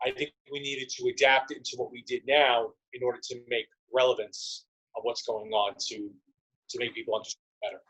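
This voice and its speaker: Male interview guest, medium pitch, casual, conversational answers. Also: flat delivery, brisk, a bit bright, poor audio. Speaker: interview guest, theatre company member and writer.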